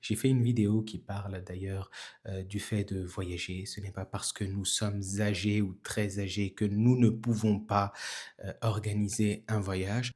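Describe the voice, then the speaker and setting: Husky low-voiced man in his forties. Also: a little mellow. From Tunisia. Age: 40s. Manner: chatty, many filler pauses. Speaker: channel host. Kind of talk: casual vlog commentary. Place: indoors in Tunisia.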